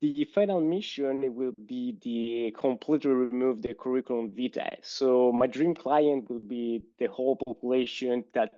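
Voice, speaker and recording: A man with an Italian accent answering interview questions in a thoughtful way, pausing often with "uh". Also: mid-range voice, warm tone, no echo. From Italy. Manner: thoughtful, hesitant with frequent "uh" pauses. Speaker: guest. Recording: slightly dull lo-fi sound.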